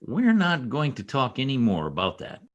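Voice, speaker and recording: A young state trooper in an old-time radio play, acted by a man, gruff and protective. He speaks in a commanding, brusque way, and his mid-pitched voice moves widely up and down. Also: huge pitch swings, moderate pace, highs cut off. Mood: brusque, guarded. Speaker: amateur radio play actor. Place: home, over a video call.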